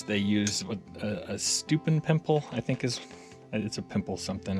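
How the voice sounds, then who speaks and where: A man with a deep, low-pitched voice talks his way through a hands-on repair job. He is casual and folksy, rambling as he thinks aloud like a hobbyist video host. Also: a little mellow. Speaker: audio repair vlogger.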